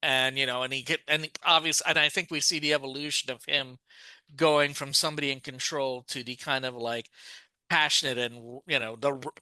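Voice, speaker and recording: A man with a bright, ringing tone and a smooth, clear voice chats on a podcast. He talks on and on, restarting sentences as he goes. The sound is close and dry. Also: mid-range voice, steady tone, moderate pace, no room sound. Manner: talkative, restarts sentences often. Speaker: podcast co-host. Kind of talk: casual chat.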